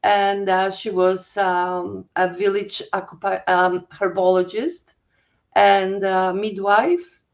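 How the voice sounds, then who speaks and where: Slow-speaking older Polish-accented woman. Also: medium pitch, fairly even intonation, highs cut off. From Poland. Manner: halting, searching for words, many restarts. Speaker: guest, holistic health coach. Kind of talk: personal storytelling in an interview.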